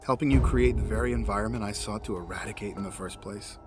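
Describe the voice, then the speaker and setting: A man's voice: on the low side, quick pace, natural voice. A man acting in an audio drama as a grieving, vengeful man, accusing and sarcastic in a menacing way. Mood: bitter accusation. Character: grieving, vengeful, cold-hearted man confronting a betrayer. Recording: music under the voice. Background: dramatic music.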